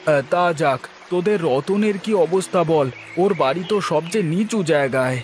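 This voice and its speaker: A man voicing a cheerful, kindly village fisherman in a moral-tale cartoon, chatty and animated in a folksy way. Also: on the high side, normal speed, a little hiss or hum, lightly acted. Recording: constant instrumental music behind the voice.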